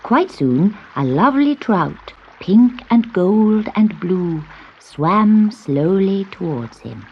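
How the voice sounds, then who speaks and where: A woman reading a scripted children's story in an expressive, dramatic way with measured phrasing. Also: mid-range voice, muffled recording, slight background noise.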